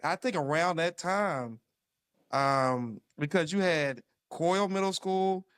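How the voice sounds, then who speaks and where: Animated man telling football stories. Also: fairly high pitch, slow pace, clear, resonant tone, dry recording, studio quality. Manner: animated storyteller with laughs. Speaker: former football player, guest. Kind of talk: casual reminiscing conversation.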